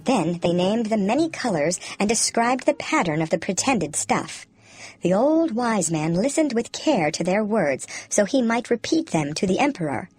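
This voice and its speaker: A woman reading a children's fairy tale as an expressive, clearly enunciated storyteller. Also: medium pitch, normal speed. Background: background music.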